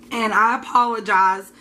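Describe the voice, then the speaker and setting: A woman's voice: on the high side, bright, forceful tone. A woman with a congested, scratchy voice chatting casually in her home kitchen, talking informally and correcting herself as she goes. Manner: chatty, informal, a bit unsure, self-correcting. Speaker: home soap maker. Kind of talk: casual show-and-tell vlog.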